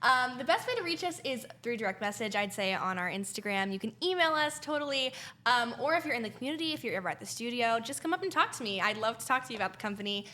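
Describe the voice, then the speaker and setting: Young woman with a higher voice, chatty. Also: lively intonation, fast, pushed and bright. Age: young adult. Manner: enthusiastic, chatty. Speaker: guest, youth theater director. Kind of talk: casual interview talk.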